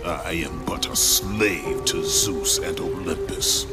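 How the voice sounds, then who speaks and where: A man playing a bitter, angry warrior in a video game, gruff and defiant with a gravelly voice. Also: deep voice, slow pace, loud music behind the voice. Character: bitter, angry male warrior in servitude to gods. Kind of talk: dramatic video game acting.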